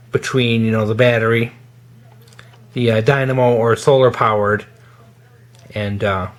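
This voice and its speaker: A man's voice: mid-range voice, fairly bright tone. Casual man demonstrating gear. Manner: informal, halting, hands-on explaining. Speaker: gear reviewer.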